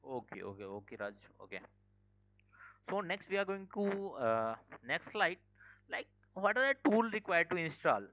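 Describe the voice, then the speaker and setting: A man's voice: high-pitched, big pitch swings, moderate pace, slight background noise. A man teaching a live online security class, informal, full of fillers and "okay". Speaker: cybersecurity instructor. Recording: dull lo-fi call audio.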